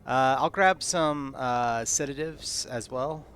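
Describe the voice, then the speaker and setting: A man's voice: mid-range voice, slow pace, a bit bright, clear, resonant tone. A man playing a tabletop game, laid-back, checking in briefly with the game master. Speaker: player. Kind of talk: tabletop roleplay chat.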